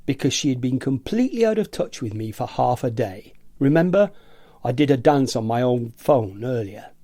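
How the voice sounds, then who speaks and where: A man's voice: mid-range voice, sing-song, normal speed, dark tone, no room sound. Man acting an agitated eccentric rebel, dry close sound. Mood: defiant, aggrieved. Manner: theatrical, savouring words, mimicking nagging voices. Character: agitated, eccentric rebel office worker fed up with gadgets. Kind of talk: radio play monologue reading.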